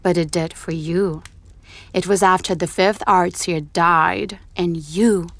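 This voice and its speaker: A woman's voice: fairly low pitch, fairly even intonation, takes it slowly. An actress playing a sly, seductive, ambitious slave woman in a fantasy audio drama, intimate and insinuating. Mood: seductive, knowing.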